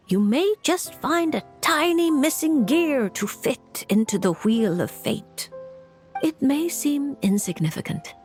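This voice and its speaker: A woman voicing a calm, knowing fortune teller in a video game, measured and cryptic, with music behind her. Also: on the high side. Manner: measured, cryptic, gently teasing. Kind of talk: theatrical video game voice acting.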